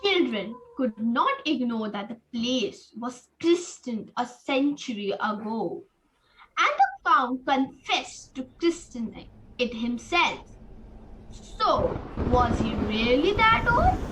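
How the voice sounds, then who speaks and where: A woman's voice: a little high, very animated, slow pace, a bit bright, slight background noise, low-quality recording. A woman reading scripted narration for a radio play.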